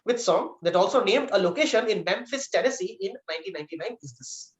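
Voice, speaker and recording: A man's voice: high-pitched, animated, fast. A man acting as quiz master, reading out trivia questions and giving verdicts on answers.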